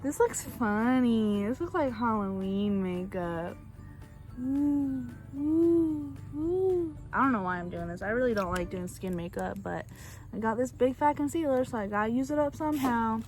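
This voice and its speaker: Young female beauty vlogger, Bulgarian first language, higher-pitched and chatty, self-deprecating, phone-recorded outdoors. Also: animated, unhurried, a little mellow, soft background music. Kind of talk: casual makeup tutorial chat. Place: outdoors on a back porch. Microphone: phone camera.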